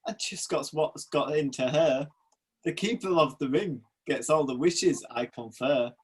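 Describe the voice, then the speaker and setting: A man playing an obliging pantomime genie, declaiming in rhyme with a husky voice. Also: fairly high pitch, a little slow. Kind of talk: rhyming amateur pantomime acting.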